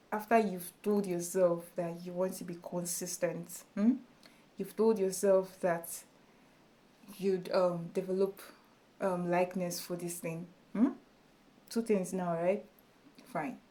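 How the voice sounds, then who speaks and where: A woman with a small, soft voice speaks slowly to her camera, chatty and encouraging. Her tone is mellow and warm, and her casual delivery is sprinkled with fillers. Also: fairly low pitch. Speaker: YouTube tutorial creator. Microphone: camera in front of her.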